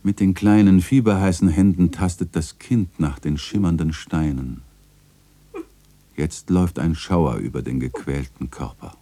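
An actor playing a melancholy old chronicler in a radio drama, narrating in a solemn, lyrical, gravelly manner. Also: male voice, low voice, unhurried, dark tone. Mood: somber, tender. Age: older. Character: melancholy old male chronicler looking back on his life.

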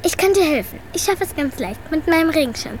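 Very high, snooty little girl. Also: animated, quick pace, slight background noise, poor audio. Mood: meddling. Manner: condescending, prim. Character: snooty, bossy know-it-all little girl. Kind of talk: German radio drama acting.

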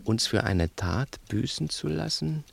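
An actor in a 1960s German radio play playing a cunning, domineering crook who is menacing, insistent and patronising. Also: male voice, medium pitch, animated, unhurried, gravelly, heavily acted. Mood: agitated, mocking. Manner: insistent, theatrical, probing with rhetorical questions.

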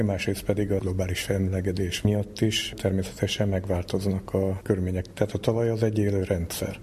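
A man's voice: fairly low pitch, mellow, slight background noise. A middle-aged or older man, an academic guest, explaining things informally in a plain-spoken way. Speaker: academic scientist, interview guest.

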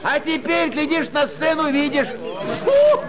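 Radio monologue, pompous jaded theatregoer, very slow, heavy crowd noise. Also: male voice, very high-pitched, very animated, heavily acted. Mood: disdainful, emphatic. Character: jaded theatregoer demanding nerve-shredding effect, pompous.

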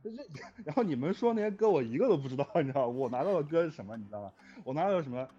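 A man's voice: a little high, very fast. A man chatting casually as a guest, complaining playfully as he tells stories. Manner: playfully complaining, animated storyteller. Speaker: guest, music arranger and composer of score. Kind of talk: casual chat. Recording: muffled lo-fi sound, music behind. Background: background music.

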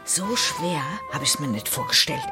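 An actress playing an excitable woman who tries to solve a mystery herself in a radio play. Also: lowish voice, moderate pace, over loud music. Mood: eager. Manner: excitable, exclaiming.

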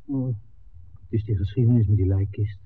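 An actor playing an earnest young documentary film-maker, tender and defiant, in a 1960s Dutch radio drama. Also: male voice, lowish voice, a little fast, natural voice. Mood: troubled. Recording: narrow-band 1969 radio recording, faint hiss.

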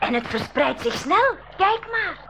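Curious young girl, high-pitched. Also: big pitch swings, unhurried, sharp tone, exaggerated voice. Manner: inquisitive. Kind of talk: 1980s school radio drama acting. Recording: faintly noisy 1980s radio recording.

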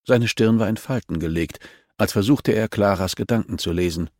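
Low-voiced man narrating an audiobook, dry sound. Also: normal speed, a little mellow, no echo. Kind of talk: scripted fiction narration.

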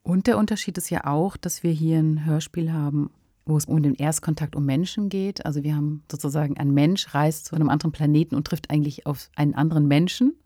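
A woman's voice: low voice, quick pace, mellow, no room sound, studio quality. A woman co-hosting a podcast, discussing fiction in a conversational, explanatory way. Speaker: podcast co-host, fiction writer.

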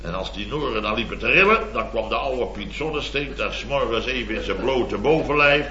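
An actor playing a blustery, boastful middle-aged building contractor in a radio comedy, rambling and exclamatory. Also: male voice, medium pitch, fairly quick, over a noisy crowd, lightly acted. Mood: boastful relish. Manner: rambling, exclamatory, constant tag words. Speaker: comic lead actor. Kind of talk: 1970s radio comedy acting. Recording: dull old radio recording, roomy, audience laughter.

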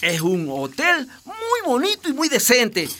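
High-voiced, exuberant flirty cabbie. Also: male voice, wildly swooping pitch, unhurried, pushed and bright, slight background noise, exaggerated voice. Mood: reassuring, persuasive. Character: cheery, flirty, slangy taxi driver friend, big-hearted. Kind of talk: radio soap opera acting.

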